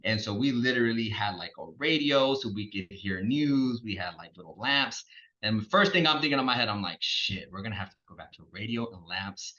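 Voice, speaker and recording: A man's voice: lowish voice, animated, a little fast, bright, forceful tone, muffled recording. A man from Texas, a guest on a podcast, talking in a laid-back way with lots of fillers. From Texas, USA. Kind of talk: casual interview chat.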